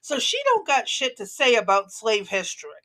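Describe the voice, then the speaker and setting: A woman's voice: a little high, sing-song, normal speed, pushed and bright. A woman hosting a casual political commentary show, speaking in a blunt, combative, profane way. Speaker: talk show host.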